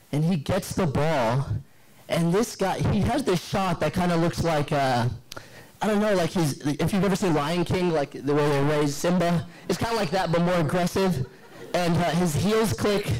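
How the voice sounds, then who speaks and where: A hoarse young man in his twenties giving a rambling, joking wedding speech into a handheld mic in a banquet hall. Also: high-pitched, a little mellow. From Yemeni descent. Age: 20s. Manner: rambling, self-deprecating storytelling with many fillers. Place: large banquet hall, indoors. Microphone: handheld microphone.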